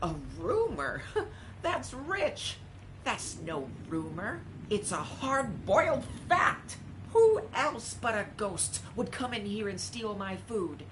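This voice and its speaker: A woman's voice: medium pitch, big pitch swings, slow, background noise. A woman playing an irritable, scoffing cook in a video game, brusque and emphatic. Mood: scornful, emphatic. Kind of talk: scripted video game character acting.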